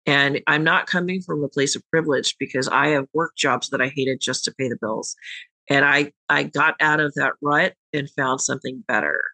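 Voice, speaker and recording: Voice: medium pitch, moderate pace, sharp tone, dry recording, low-quality recording. A podcast host and tarot reader talking casually and frankly, swearing now and then and rambling a little.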